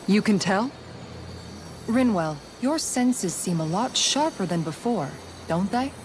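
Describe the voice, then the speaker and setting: A woman's voice: mid-range voice, animated, takes it slowly, noisy background. A woman playing a young, level-headed, observant adventurer in a fantasy video game cutscene. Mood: curious. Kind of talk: English dubbed video game cutscene acting.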